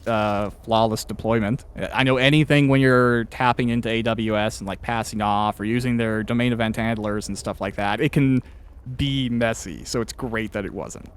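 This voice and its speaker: A man's voice: fairly low pitch, fairly even intonation, moderate pace, clean tone. A man hosting a casual interview chat, talking in a wordy, hesitant way and often interrupting himself. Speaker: podcast host interviewer.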